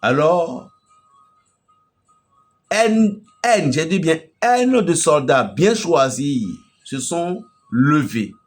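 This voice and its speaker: A man from Benin with a Beninese French accent, preaching an emphatic, storytelling message to a fellow pastor. His voice swells loudly at the climaxes. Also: on the high side, lively intonation, drawn-out words, faint music behind. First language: a local Beninese language.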